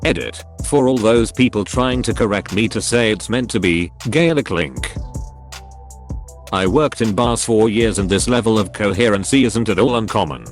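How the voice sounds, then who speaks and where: A man's voice: lowish voice, moderate pace, faint music behind. A man reading online stories and comments aloud as a scripted narration. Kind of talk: scripted narration of forum posts.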